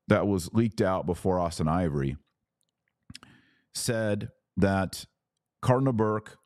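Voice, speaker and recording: A man hosting a podcast and giving live opinion commentary in deliberate, emphatic short phrases. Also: on the low side, a little mellow, no echo, clean studio sound.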